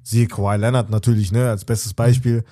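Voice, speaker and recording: Chill podcast dude, middle pitch, slangy, thinks out loud and trails off. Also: male voice, flat delivery, fairly quick. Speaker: podcast co-host. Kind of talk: casual chat.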